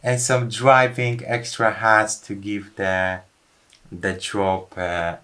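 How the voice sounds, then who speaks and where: Low-voiced man explaining a music track. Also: flat delivery, slow pace, bright, forceful tone. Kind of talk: casual studio walkthrough.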